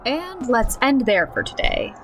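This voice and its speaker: A woman's voice: high-pitched, sing-song, unhurried, a bit bright, soft background music, full character voice. A woman playing a game master who narrates a comic fantasy tabletop game in a scripted radio drama. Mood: storytelling.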